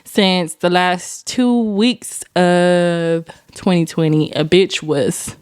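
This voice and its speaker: Smooth-voiced woman, chatty and profane. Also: on the low side, takes it slowly, no room sound, clean studio sound. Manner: animated, laughing, profane storytelling. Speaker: podcast host. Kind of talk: casual chat.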